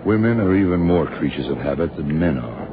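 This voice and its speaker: An actor playing a gruff, confident government investigator in a radio drama, talking in a terse, world-weary way. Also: male voice, rumbling low, animated, fairly quick. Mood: brisk, reasoning. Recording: dull, noisy old radio recording.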